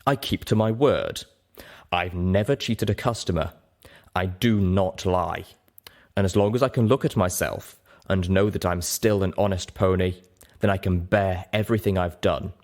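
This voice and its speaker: Grim pony trader and father, male reader, deep register, slow deliberate delivery, bitter and worn down, audiobook character. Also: darkish tone. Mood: grave, resolute.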